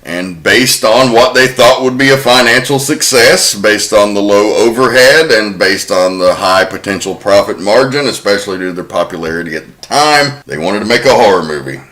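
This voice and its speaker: A man's voice: on the low side, moderate pace, few pauses, pushed and bright. A man hosting a podcast, casually walking through researched facts about an old film. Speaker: podcast host. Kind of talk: casual film-history chat.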